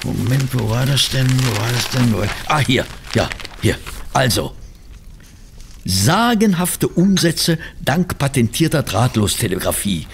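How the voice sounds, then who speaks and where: A man playing a chatty, good-natured Victorian gentleman who fusses and mutters, in a German audio drama. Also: mid-range voice, expressive, measured pace, gravelly, slight background noise. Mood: musing, eager. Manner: fussy, muttering to himself while reading.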